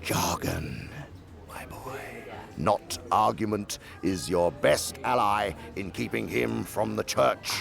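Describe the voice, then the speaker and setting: An actor playing a sly, condescending older demon uncle who lectures pompously and theatrically in a radio drama. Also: male voice, lowish voice, animated, takes it slowly, vocal fry, music in the background, heavily acted.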